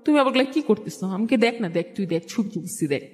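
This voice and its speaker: A woman's voice: mid-range voice, lively intonation, fast, darkish tone, soft background music. A young woman with a Bangladeshi accent telling a personal story in colloquial speech, acting out conversations as she goes. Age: young adult. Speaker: guest telling own life story. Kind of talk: personal storytelling with re-enacted dialogue. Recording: long echoing hall reverb.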